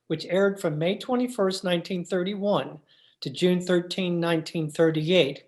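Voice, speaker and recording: A woman's voice: on the low side, a little slow, darkish tone. A woman reading a scripted introduction as the announcer of an old-time radio drama.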